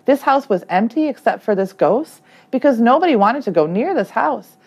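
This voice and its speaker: Female teacher for picture-book narration; expressive delivery with animated, wide-swinging intonation. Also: a little high, normal speed. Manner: animated, acts out the dialogue. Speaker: third grade teacher reading aloud. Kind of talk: expressive children's storybook read-aloud.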